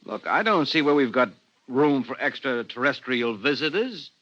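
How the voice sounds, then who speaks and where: Sardonic husband on muffled old radio. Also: male voice, mid-range voice, lively intonation, normal speed, sharp tone. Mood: irritated, puzzled. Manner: dry, sardonic. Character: skeptical, sarcastic policeman husband, grumbling and stubborn. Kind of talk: 1950s radio drama acting. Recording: dull 1950s radio recording.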